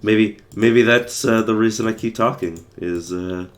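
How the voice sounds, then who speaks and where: A young man with a very low, hoarse voice that is wearing out. He rambles through his gameplay commentary with lots of fillers and restarts, talking to chat as he plays. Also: a little slow. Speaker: livestreamer playing a game.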